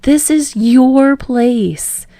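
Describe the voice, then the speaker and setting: Earnest, bewildered young guy for audiobook fiction, read by a woman: raised pitch, casual, protesting, dry close sound. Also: drawn-out words, no echo, heavily acted. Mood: teasing, accusing. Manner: casual, protesting, higher and louder than narration. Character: earnest, good-natured, bewildered young man.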